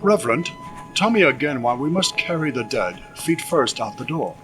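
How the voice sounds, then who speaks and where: A man's voice: medium pitch, big pitch swings, normal speed, over music, lightly acted. A man playing a practical, dismissive pallbearer in an audio drama, talking in a matter-of-fact way. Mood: skeptical.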